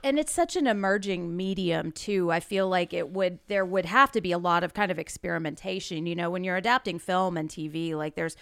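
A woman's voice: fairly low pitch, fairly quick, vocal fry, few pauses, a bit bright, clean tone, no room sound, studio quality. A woman co-hosting a podcast in casual discussion, thoughtful and a little tentative in how she offers her ideas. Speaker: podcast co-host.

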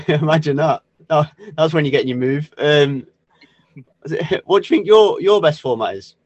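Podcast host, chatty and jokey, dull dry home recording. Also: male voice, on the high side, normal speed, dry recording. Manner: jokey, laughs a lot, rambling questions. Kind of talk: casual podcast interview.

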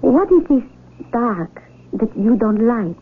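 Innocent young village girl in a radio play, earnest, highish voice, tinny old broadcast. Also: big pitch swings, takes it slowly, breathy edge, full character voice. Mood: friendly, wondering. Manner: earnest, simple formal diction. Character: sheltered blind young village woman, earnest, curious, quick to anger. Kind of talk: old-time radio drama acting.

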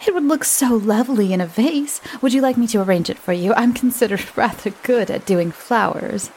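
A woman voicing a chatty, self-assured lady who speaks in bright, gushing exclamations. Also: medium pitch, expressive, normal speed, gravelly, slight background noise, poor audio. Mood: gushing arch. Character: chatty, gushing upper-class English lady.

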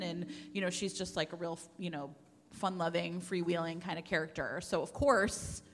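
A woman's voice: mid-range voice, fairly bright tone. A woman chatting casually and playfully about romance novels as a podcast host, gushing and emphatic. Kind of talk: lively casual chat. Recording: echoey hall, background noise.

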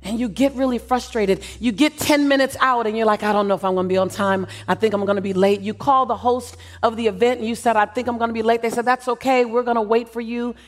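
A woman leading a guided visualization, soft and slow in the calm passages and rising loud and emphatic when she voices the crowd. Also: fairly high pitch.

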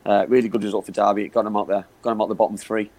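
Man, quick speech, somewhat low voice, repeats himself, football pundit. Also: little pitch movement, darkish tone. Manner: fast, repeats words, many fillers like you know what I mean. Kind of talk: casual football chat show.

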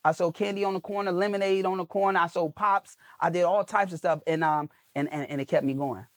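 High-voiced emphatic man, motivational guest. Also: steady tone, a little fast, dry recording, studio quality. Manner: emphatic, preachy, repeats phrases. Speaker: guest, content coach. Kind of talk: motivational podcast interview.

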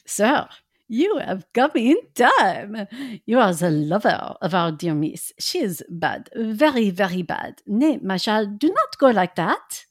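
A woman plays an older male professor with a put-on French accent. He speaks in stilted, grave phrases with dramatic pauses, kindly but urgent, and his pitch moves widely. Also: sing-song. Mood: grave, solemn. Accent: mock French.

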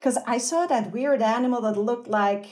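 A woman from Switzerland, a guest on an interview, telling a story in a cheerful, lively way with frequent laughs and fillers. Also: on the high side, normal speed. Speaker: guest researcher.

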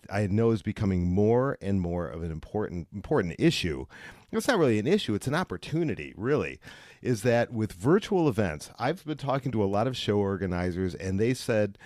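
Low-voiced male interviewer, close dry sound. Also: a little mellow, no room sound. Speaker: interviewer, host. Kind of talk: conversational interviewing.

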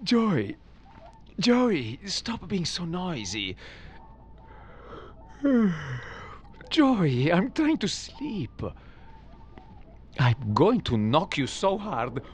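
Excitable, exasperated Italian man. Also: sits high, very slow, long pauses, a little hiss or hum, exaggerated voice. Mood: alarmed, irate. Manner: scolding, exclamatory, lapses into Italian. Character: exasperated Italian man, grumpy roommate woken by chaos. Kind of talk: audio comedy acting.

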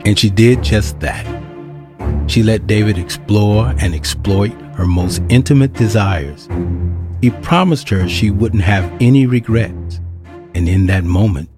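A man narrating an audio drama in a steady storytelling delivery. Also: fairly low pitch, unhurried, music in the background. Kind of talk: scripted narration.

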